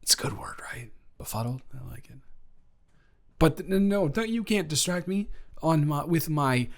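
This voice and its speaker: A man's voice: on the high side, animated, normal speed, creaky. A man playing a flustered, befuddled young guy in an improvised roleplay audio, stammering and rambling as he talks to the listener. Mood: flustered, confused. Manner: stammering, rambling, awkwardly backtracking.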